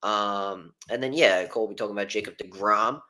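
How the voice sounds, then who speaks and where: A man's voice: lowish voice, brisk, pushed and bright. A young man hosting a casual sports podcast, rambling with lots of ums and uhs. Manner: rambling with many fillers. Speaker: podcast host.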